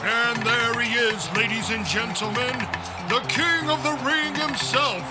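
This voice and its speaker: A man playing an excited ring announcer in a radio drama, with showy, drawn-out hype over loud music. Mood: hyped. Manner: showy, booming announcer phrasing. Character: excited arena ring announcer hyping a champion. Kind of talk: amateur radio drama acting.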